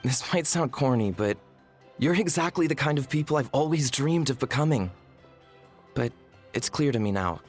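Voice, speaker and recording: A man's voice: medium pitch, expressive, normal speed, faint music behind, lightly acted. A man playing an earnest, self-deprecating and polite young man in a video game. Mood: wistful, resigned. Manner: earnest, hesitant, humble. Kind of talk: video game cutscene voice acting.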